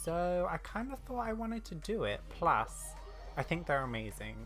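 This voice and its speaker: A woman's voice: lowish voice, sing-song, moderate pace, faint music behind. A woman doing casual, rambling let's-play commentary as host of a gaming video. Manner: chatty, rambling.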